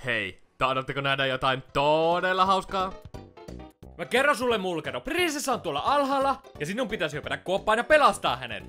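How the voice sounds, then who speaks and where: A bright, forceful teenage cartoon bully acted by a man, sneering at everyone as the big brother. The voice is high-pitched and slangy, full of sarcasm and swearing. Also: very animated, faint music behind, exaggerated voice. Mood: slyly goading. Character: bullying, foul-mouthed teenage older brother, sneering video-game plumber. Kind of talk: Finnish fan-dub cartoon parody.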